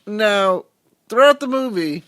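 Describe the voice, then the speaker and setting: Lively, dry-sounding podcast co-host. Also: male voice, sits high, slow pace, no room sound. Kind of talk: casual movie-review chat.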